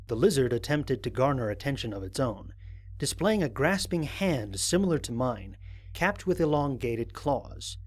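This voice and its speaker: A man playing an analytical, dryly wry explorer recording a mission log in an audio drama, in formal, precise, measured diction. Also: mid-range voice, sing-song, husky. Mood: measured, intrigued. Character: analytical, dryly wry human explorer logging first contact.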